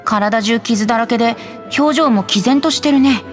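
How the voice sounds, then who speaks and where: Woman doing a playful boy bard, anime game, high light voice, faint music. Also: normal speed, natural voice. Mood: curious. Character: boyish, playful, breezy young male bard. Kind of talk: Japanese anime game voice acting.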